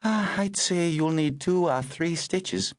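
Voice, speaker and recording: A male narrator voicing a brisk, wry aristocratic older woman who talks in a clipped, commanding way. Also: fairly high pitch, no echo, studio quality, voice pushed up.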